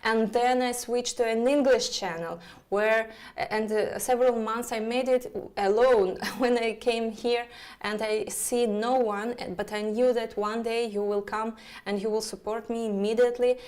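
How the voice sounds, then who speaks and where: A woman astrologer with a Russian accent, speaking haltingly with many fillers as she talks to viewers of her live stream. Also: fairly high pitch, fairly even intonation, darkish tone.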